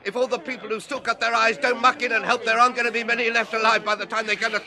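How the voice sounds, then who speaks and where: A defiant street agitator in a radio drama, very high in pitch. He harangues with indignant, sarcastic scorn on behalf of the helpless, with a heavy crowd clamouring around him. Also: level tone, racing. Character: angry defiant agitator man championing the helpless blind.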